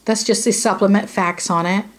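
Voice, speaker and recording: A woman vlogger with a hoarse, raspy voice from sinus trouble, rambling casually through a supplement review to camera with lots of fillers. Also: mid-range voice, steady tone, normal speed. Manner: rambling, chatty, many fillers and "you know what I mean". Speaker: YouTube beauty vlogger. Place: indoors, filming a product close up.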